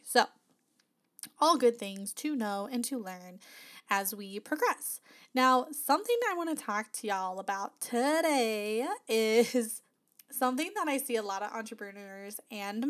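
A woman hosting a casual solo podcast, chatty and upbeat, her voice still slightly nasal and not fully back after an illness. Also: on the high side. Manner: chatty, upbeat, frequent laughs and fillers. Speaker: podcast host.

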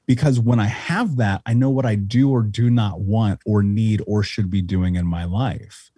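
Blunt, husky man swearing freely. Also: fairly low pitch, normal speed, warm tone, no echo, studio quality. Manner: blunt, intense, profane. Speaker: podcast guest. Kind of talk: casual podcast conversation.

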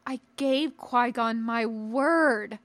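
Woman as resolute male knight, measured and formal. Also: on the high side, a bit bright, no echo. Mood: firm. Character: principled, resolute male Jedi knight and patient teacher.

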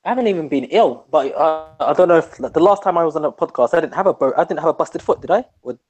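A man's voice: fairly high pitch, animated, fast, darkish tone. A man who is a guest on a podcast, chatting in a laid-back, slangy way. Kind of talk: casual podcast chat.

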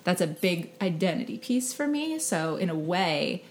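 A woman's voice: on the low side, sing-song, moderate pace. A woman podcast co-host talking casually and personally, effusive and emphatic, dropping "like" into nearly every thought. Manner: effusive, emphatic, says "like" constantly.

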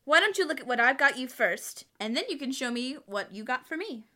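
An actress playing a nagging, sweetly stubborn wife in an old-time radio comedy, chiding with mock patience. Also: female voice, high-pitched, sing-song, fairly quick, sharp tone, exaggerated voice. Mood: cheerful.

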